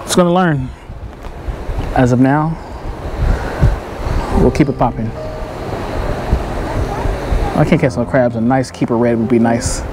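Laid-back, low-voiced middle-aged man. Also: unhurried, long pauses, heavy background noise. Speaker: fishing vlogger. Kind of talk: casual vlog chat to viewers. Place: outdoors on a fishing pier at night.

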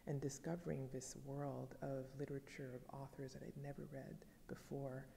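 Haitian-born woman professor, French first language, 40s, measured reflective delivery, mellow gravelly voice, faint room hum. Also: rumbling low, little pitch movement, big-room sound. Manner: thoughtful, measured storytelling. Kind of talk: reflective interview answer to camera. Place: dim wood-paneled library. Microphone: fixed camera, seated at medium range.

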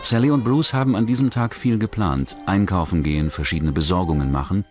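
A man's voice: deep voice, fairly quick, a little mellow. A man delivering scripted narration for a true-crime documentary in the role of narrator. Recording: narration over music bed. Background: music.

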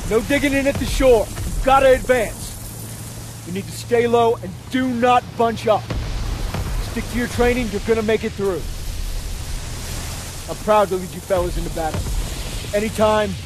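An actor playing a steady, encouraging army lieutenant leading his men in a war video game. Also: sits high, moderate pace, long pauses, music in the background, lightly acted. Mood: firm, encouraging. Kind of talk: cinematic war game acting.

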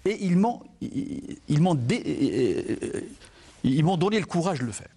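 A man's voice: fairly high pitch, big pitch swings, measured pace, mellow, slightly rough. A man, a guest author in a TV studio interview, reflective and often repeating words as he searches for them. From Algeria. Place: TV studio set, indoors.

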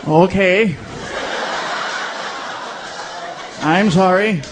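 A man's voice: sits high, slow pace, voice pushed down. A man voicing a hot-headed Mexican friend in a comedy story, blunt, indignant and slangy. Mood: sheepish. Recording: noisy live stage recording.

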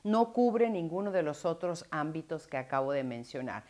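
Earnest lower-voiced woman explaining to camera. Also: expressive, normal speed, a little mellow. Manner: clear and earnest, gesturing with both hands. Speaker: immigration lawyer. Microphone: lapel mic.

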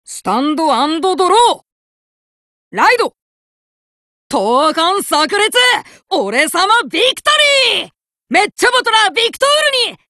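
A woman voicing a brash, cocky young male card fighter who boasts and shouts battle chants, recorded as game voice lines. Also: extremely high pitch, big pitch swings, a little slow, no room sound, exaggerated voice. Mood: fired up. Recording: dry studio recording.

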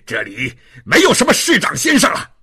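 A man's voice: high voice, huge pitch swings, drawn-out words, slightly rough, pitched above the performer's own voice, exaggerated voice. A man voicing a brutal, mocking police inspector in a dramatic audiobook, barking with contempt. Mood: domineering. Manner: barking, contemptuous, forceful delivery.

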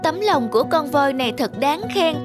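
A wise cartoon bird, kindly and admiring, high voice with music behind. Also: female voice, expressive, moderate pace.

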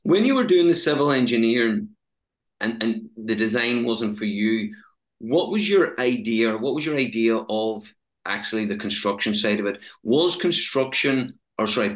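A man in his thirties hosting a podcast interview from a home office. He speaks briskly into a podcast microphone and often repeats words as he restarts. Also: medium pitch, expressive. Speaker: podcast host. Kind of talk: interview questions. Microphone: podcast microphone on video call.

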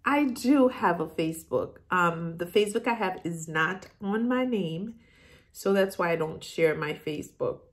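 Haitian lady w a bit of southern accent, deep slow voice, thinking out loud, casual youtube chat. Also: animated. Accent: Haitian with a Southern US tinge. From Haiti, moved to the US as a child and grew up in Florida. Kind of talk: casual chat, answering viewer questions. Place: room in a house, indoors.

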